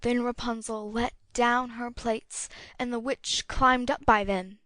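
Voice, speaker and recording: A woman narrating a fairy tale as an audiobook, with a clear storytelling delivery. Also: a little high, steady tone, slow pace, pushed and bright, dry recording.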